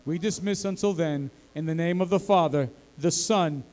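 A man's voice: a little high, normal speed, darkish tone. Fervent praying pastor, man. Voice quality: fervent, rhythmic prayer cadence. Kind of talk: leading a congregational prayer. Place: large church sanctuary.

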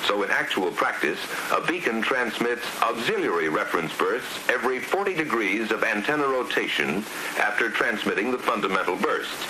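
A man's voice: on the low side, moderate pace. A man with an American accent narrating a scripted 1950s instructional film in a formal, precise, didactic manner. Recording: hissy 1950s film soundtrack. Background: steady hiss.

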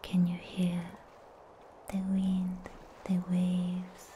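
Woman as serene anime sea guardian for ASMR roleplay: breathy whisper, very slow, mellow, soothing. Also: fairly low pitch, completely flat delivery, slight background noise.

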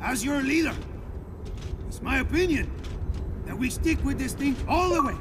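A man's voice: very high-pitched, lively intonation, normal speed, noisy background. A man playing a sardonic, scheming leader of desert pirates in a video game cutscene, sarcastic and sure of himself. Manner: sarcastic, self-assured.

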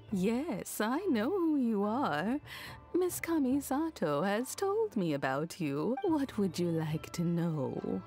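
A woman voicing a kindly elderly servant woman in a video game, speaking gently and graciously. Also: on the high side. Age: older. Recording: soft game music behind.

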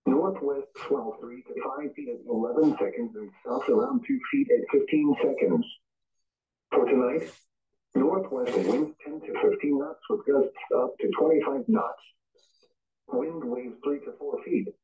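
A man's voice: medium pitch, sing-song, a little slow, far from the mic. A synthesized man's voice reading a scripted weather forecast over the radio in a formal, impersonal, clipped manner. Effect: synthesized voice played over a weather radio. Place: radio playing in a home room, picked up from a distance. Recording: tinny band-limited radio, picked up from across a room.

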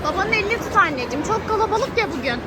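A woman's voice: very high-pitched, expressive, rapid-fire. A mother cheerfully filming her children, talking to them in a playful, affectionate, teasing way. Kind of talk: casual family vlog commentary. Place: busy shopping mall. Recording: loud crowd and music behind.

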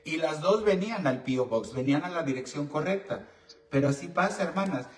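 A man's voice: a little high, animated, a little fast. A man with a Mexican accent hosting a call-in advice show, bantering in a brash, teasing, campy and bawdy manner. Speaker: call-in show host and esoteric advisor. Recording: roomy echo, distant mic, faint noise.

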